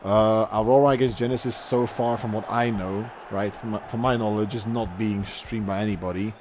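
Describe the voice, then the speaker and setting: A man's voice: on the low side, fairly even intonation, normal speed, darkish tone, slight background noise. Young man calling a game, lo-fi. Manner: chatty, rises sharply on goals and saves, fillers and restarts. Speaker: esports match commentator. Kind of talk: live play-by-play commentary.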